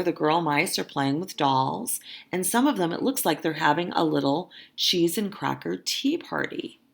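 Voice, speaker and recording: A woman who works as a teacher, reading a children's story aloud expressively and adding simple, deliberate explanations. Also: sits low, vocal fry.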